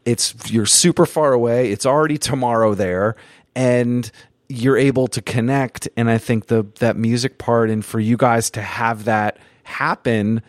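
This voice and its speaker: A man's voice: medium pitch, steady tone, a little slow, no room sound. A man hosting a podcast interview, talking casually and building long, thoughtful points. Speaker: podcast host.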